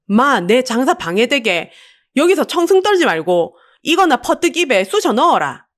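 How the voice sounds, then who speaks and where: A woman voicing a gruff, burly male street vendor who scolds bluntly with a Busan accent. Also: high voice, big pitch swings, moderate pace, voice pushed up. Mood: gruff scolding.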